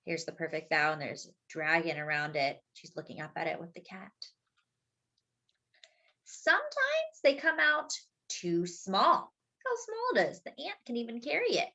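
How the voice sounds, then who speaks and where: A woman's voice: medium pitch, huge pitch swings, a little slow, bright, forceful tone. A woman hosting story time, reading a picture book expressively for young children, stretching key words and adding simple explanatory asides.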